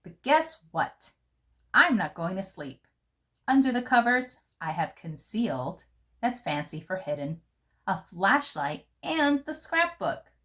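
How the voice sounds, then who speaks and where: A woman voicing a fancy, dramatic little girl who loves glamour, telling her story from a children's book in an expressive, theatrical way. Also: medium pitch, slow. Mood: sneaky, excited. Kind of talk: first-person storybook narration for children.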